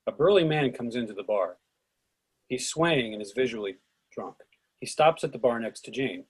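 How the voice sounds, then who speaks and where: Narrator, male, mid-range and a little raspy, reading script action for a readers theatre. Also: medium pitch, lively intonation, normal speed. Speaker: narrator reading stage directions.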